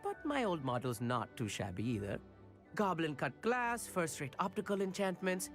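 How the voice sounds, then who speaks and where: A man's voice: on the high side, big pitch swings, normal speed, fairly bright tone. An eager schoolboy from a video game, a proud hobbyist. His youthful voice bounces up and down as he chats enthusiastically and a little boastfully, with faint music behind. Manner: chatty, enthusiastic, slightly boastful. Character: eager, friendly schoolboy astronomy buff. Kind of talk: video game cutscene acting.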